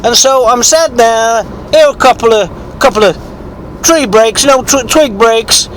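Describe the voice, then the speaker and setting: Man putting on a high-pitched husky bigfoot hunter. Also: a bit bright, a little hiss or hum, poor audio. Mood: rambling, scornful. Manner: halting, repetitive, sweary, rambling. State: sore throat, coughing. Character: eccentric rustic foul-mouthed bigfoot hunter telling his tale. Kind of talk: rambling mock-documentary storytelling.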